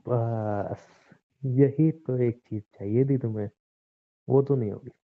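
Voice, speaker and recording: Through thin, phone-like sound comes a bitter young husband, played by a man, in a relationship audio drama. His medium-pitched voice is sarcastic and confrontational. He sounds controlling and unyielding as he argues. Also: fairly even intonation, slow pace. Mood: firm, confrontational. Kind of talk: acted Hindi relationship audio drama, conversational.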